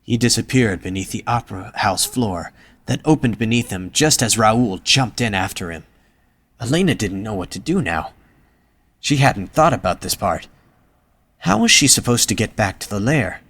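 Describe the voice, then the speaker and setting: Slightly low-voiced male story narrator. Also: animated, normal speed, a bit bright.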